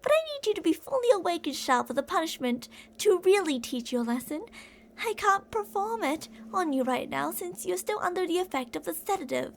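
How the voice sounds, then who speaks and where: Woman acting as obsessive older lady kidnapper, high swoopy voice, cooing and creepy sweet, asmr roleplay. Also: big pitch swings, fairly quick, a little hiss or hum, full character voice. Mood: calm, threatening. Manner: cooing, possessive, theatrical, with pet names and whispered phrases. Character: obsessive older female kidnapper, doting yet menacing.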